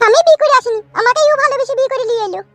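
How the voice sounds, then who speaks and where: A woman voicing a brazen, argumentative young cartoon wife with a rural West Bengal Bengali accent and a sharp tongue. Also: extremely high pitch, rapid-fire, no room sound, faint music behind. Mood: defensive. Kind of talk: comic cartoon dubbing.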